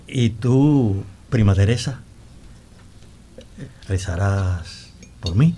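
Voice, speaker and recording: An actor playing a confident, courteous young landowner in a radio drama, speaking in formal period language. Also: male voice, fairly low pitch, lively intonation, drawn-out words, dark tone, a little hiss or hum. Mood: self-assured. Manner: formal, self-assured period speech. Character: confident, courteous, devout young gentleman of the hacienda.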